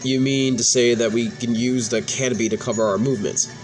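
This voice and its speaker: Male gaming host, mid-pitched, reads story dialogue aloud with the odd stumble, music behind him. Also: fairly even intonation, a little fast. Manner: stumbles over and restarts words while reading. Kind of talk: reading game cutscene dialogue aloud in a let's play.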